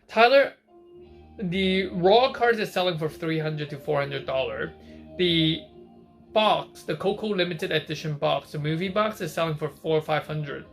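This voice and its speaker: Male stream host from Hong Kong, somewhat high voice, casual chat answering viewer questions, faint music behind. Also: unhurried, gravelly. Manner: chatty, reads out viewer questions and answers them on the spot.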